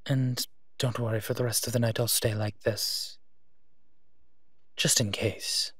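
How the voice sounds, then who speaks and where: A man playing a gentle, teasing monster who protects children, speaking softly in an intimate audio roleplay addressed to the listener. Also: medium pitch, level tone, husky, dry recording, studio quality. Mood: serious, concerned. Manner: soft-spoken, unhurried, playfully teasing.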